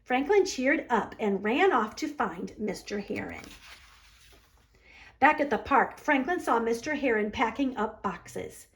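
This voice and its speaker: A woman's voice: fairly high pitch, sing-song, measured pace. A woman library storyteller narrating a children's storybook aloud.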